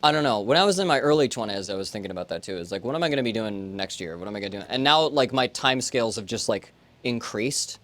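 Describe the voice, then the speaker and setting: A man's voice: lowish voice, fast. A man in his late twenties, a streamer, chatting casually and rambling with lots of fillers. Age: 20s. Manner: relaxed, rambling, many fillers. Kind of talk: casual chat on a livestream.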